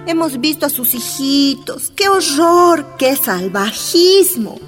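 A woman's voice: high-pitched, sing-song, takes it slowly, soft background music, exaggerated voice. An actress playing a prim, fussy woman secretary in a radio drama, with false concern and a pressuring manner. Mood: dramatic concern.

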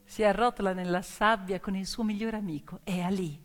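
A woman performing a dramatic theatrical storytelling monologue, emphatic and moving between hushed and declaimed delivery. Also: mid-range voice, steady tone, fairly quick. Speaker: stage actress and storyteller. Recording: long reverberant hall with music. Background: piano music.

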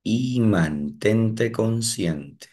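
A man guiding a meditation, giving slow, calm instructions with long pauses. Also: lowish voice. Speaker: meditation guide. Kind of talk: guided meditation.

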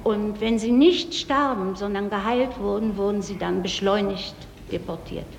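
A woman giving courtroom testimony, halting and searching for words, in an archival recording. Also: medium pitch, gravelly. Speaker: trial witness. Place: large courtroom. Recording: echoey archival courtroom, faint noise.

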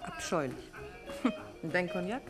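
An actress playing an elegant, playful and frank wife in a 1950s German radio play, teasing and expressive. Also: female voice, lowish voice, slow, over music. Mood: bored, wry.

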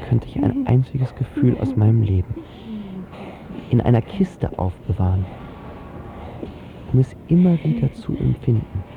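A man's voice: mid-range voice, very animated, unhurried, gravelly, pauses often, narrow, tinny audio, background noise. An actor playing a lovestruck, self-doubting seventeen-year-old boy who tells his story in casual slang for a radio drama. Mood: excited, awestruck. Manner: slangy, colloquial. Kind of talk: scripted first-person radio drama narration.

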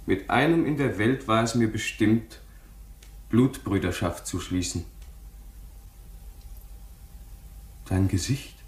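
Echoey, solemn young king. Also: on the low side. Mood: solemn, awed. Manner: solemn, declamatory proclamation. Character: young child king, solemn, proud, idealistic. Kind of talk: German radio play acting. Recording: echoey room, faint music behind.

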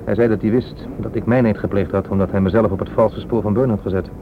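Actor as weary corrupt police inspector, muffled noisy recording. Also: male voice, on the low side, steady tone, fast talker, close to an everyday voice. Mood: rueful, self-justifying. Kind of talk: radio play acting. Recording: muffled lo-fi radio recording, strong noise.

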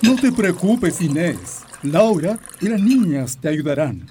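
A man's voice: sits high, sing-song, takes it slowly, warm tone, full character voice. A man playing a firm, reassuring father and railway worker in a radio drama. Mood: brisk, reassuring. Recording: noisy, clinking dishes and clicks.

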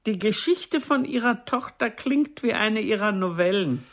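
An actress playing a haughty, mocking older stage diva in a radio play, savoring her words with theatrical disdain in a husky voice. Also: mid-range voice, animated, unhurried, a bit bright. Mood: mocking, scornful.

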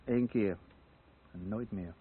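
A man's voice: lowish voice, slow pace, husky. An actor playing a bitter, sardonic male neighbour in a naturalistic radio play, speaking with scornful emphasis. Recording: tinny narrow-band old radio recording, noisy.

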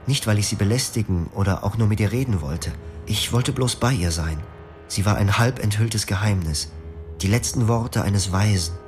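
An actor narrating as a young former psychiatric patient, in a quiet, reflective, melancholic tone in a radio play. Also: male voice, lowish voice, moderate pace, soft background music, close to an everyday voice. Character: young male patient recalling his clinic past. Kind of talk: first-person radio drama narration.

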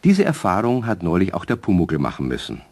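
An actor as an avuncular narrator of a children's radio play, measured and expressive, speaking to young listeners. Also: male voice, low-pitched, heavily acted. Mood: gently explanatory. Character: avuncular male storyteller addressing children. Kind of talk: scripted children's storytelling.

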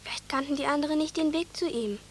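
A woman's voice: sits high, fast talker, slight background noise, lightly acted. A woman acting a caring young village girl in a radio drama, a patient storyteller who coaxes and urges. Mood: coaxing. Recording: studio radio drama with music.

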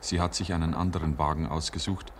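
An earnest storyteller with a low voice. He narrates in literary 1950s radio drama style, on a clearly hissy old recording. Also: steady tone, quick pace. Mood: solemn, descriptive.

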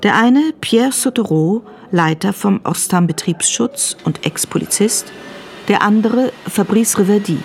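An actress playing the matter-of-fact narrator of a crime radio drama, reading scripted prose. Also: female voice, mid-range voice, expressive, unhurried. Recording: clear ambient background noise.

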